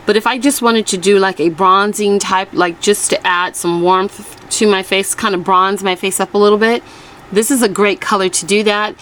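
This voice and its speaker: A woman's voice: medium pitch, fairly bright tone. Woman with a slightly scratchy, strained voice. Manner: chatty, informal. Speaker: beauty vlogger host. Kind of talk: casual makeup review vlog talk.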